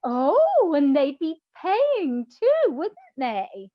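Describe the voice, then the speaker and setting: An actress playing a naive, dramatic goddess of love in a comic radio play, speaking with theatrical incredulity, on a slightly dull, roomy recording. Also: female voice, sits high, wildly swooping pitch, extremely slow, full character voice. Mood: intrigued, eager.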